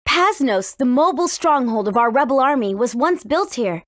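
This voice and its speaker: A woman's voice: high-pitched, expressive, normal speed, fairly bright tone, dry recording, studio quality. A woman voicing a spirited, cautious fifteen-year-old warrior princess in a video game, earnest and youthful in her delivery. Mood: earnest, explaining. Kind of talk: English video game voice acting.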